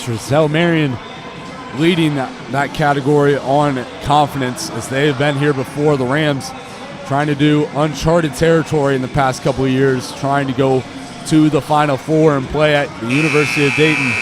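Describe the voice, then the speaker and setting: A man's voice: a little high, steady tone, normal speed. A young American man doing live basketball play-by-play in clipped phrases that follow the action. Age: young adult. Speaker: play-by-play commentator. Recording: live gymnasium commentary over loud court noise. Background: basketball bounces and gym noise.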